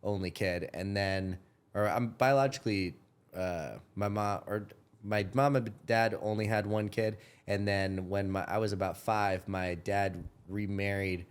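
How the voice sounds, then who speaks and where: A man's voice: fairly low pitch, fairly even intonation, unhurried, clear, resonant tone. A man of thirty hosting a podcast, talking in a rambling way with lots of self-corrections and casual swearing. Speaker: podcast host. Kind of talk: casual chat.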